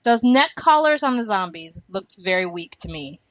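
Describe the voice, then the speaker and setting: A woman's voice: medium pitch, very animated, normal speed, fairly bright tone, dry recording. A woman hosting a podcast, chatting casually and giving picky opinions about a show. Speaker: podcast host. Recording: dull, muffled lo-fi audio.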